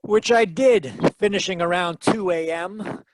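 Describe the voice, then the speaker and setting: An actor playing a wisecracking hard-boiled private detective in a 1940s radio style, with a wry, glib manner. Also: male voice, high voice, measured pace, heavy background noise. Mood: brisk, decisive.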